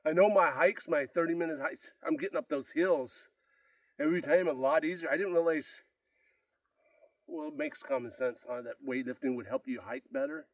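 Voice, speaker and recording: A man's voice: on the high side, moderate pace, no room sound, recorded from a distance. A man with a congested, out-of-breath voice, rambling casually to camera between sets of a workout. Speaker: fitness vlogger. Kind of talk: casual unedited workout vlog. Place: gym.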